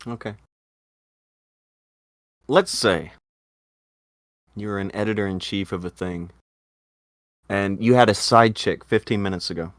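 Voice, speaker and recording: A man hosting a casual online talk show, joking and swearing, with bursts of loud excitement. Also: on the low side, a little slow, pauses often, dry recording, clean studio sound. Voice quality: lively, swings into loud bursts. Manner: profane, jokey, talks with laughs. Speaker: podcast host. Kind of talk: casual chat.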